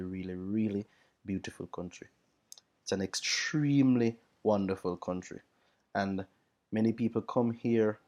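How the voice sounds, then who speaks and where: Mellow, lower-pitched Jamaican man. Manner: emphatic, repeats phrases for stress. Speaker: teacher sharing experiences of working abroad. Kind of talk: casual spoken reflection.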